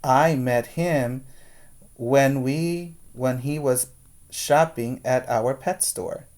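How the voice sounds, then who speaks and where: A man's voice: medium pitch, extremely slow, a little mellow. A man voicing a cheerful, joking father in a children's story. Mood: friendly. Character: cheerful father, warm and jokey.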